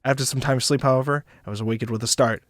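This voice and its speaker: A man's voice: mid-range voice, steady tone, rapid-fire, no room sound, clean studio sound. A man streaming a visual novel read-aloud, narrating expressively and breaking into playful asides. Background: game music.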